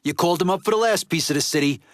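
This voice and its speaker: Game role, male actor: gruff middle-aged American male mob underboss, impatient and streetwise, slightly higher voice, very dry sound. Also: very fast, dry recording, close to an everyday voice. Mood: guarded, businesslike. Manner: blunt, profane, streetwise wiseguy talk. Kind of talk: video game dialogue acting.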